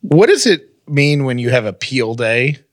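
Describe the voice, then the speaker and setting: A man's voice: medium pitch, sing-song, measured pace, no room sound. Guy co-hosting a podcast, casual banter, really dry close recording. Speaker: podcast co-host. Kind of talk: casual banter and personal talk.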